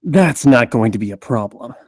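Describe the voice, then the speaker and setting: A man playing a weary, cynical hard-boiled investigator in a scripted noir table read, speaking in a measured, deliberate way. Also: medium pitch, expressive, a little mellow, breathy edge, no room sound, clean studio sound. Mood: terse, pressing.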